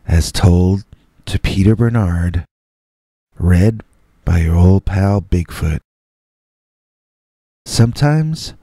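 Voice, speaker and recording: Very deep, slow, husky male storyteller. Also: dark tone, no echo, studio quality. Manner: deliberate, measured, with dramatic pauses. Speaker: storyteller, host reading listener stories as a Bigfoot persona. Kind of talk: slow scripted first-person scary story narration.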